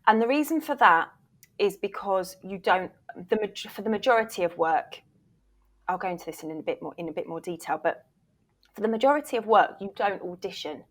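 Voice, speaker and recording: A woman's voice: medium pitch, expressive, fairly quick. A woman speaking as an interview guest, explaining things conversationally and emphatically. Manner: emphatic, often voices imagined questions from actors. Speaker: interview guest, voice reel producer and former voiceover agent.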